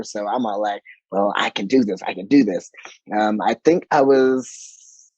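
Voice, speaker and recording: A man's voice: fairly low pitch, a little mellow, no room sound, lo-fi sound. A man in his forties from Monterey, California, a choir director talking as a podcast guest, playful and laughing often between ums. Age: 40s. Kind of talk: casual interview chat.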